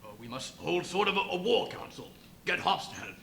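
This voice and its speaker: An actor in a live radio play playing a flustered but dutiful police chief, urgent and blustering with hesitations, in an echoey venue. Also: male voice, sits high, sing-song, brisk, vocal fry, sharp tone, slight background noise, full character voice. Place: live performance in a brewery venue.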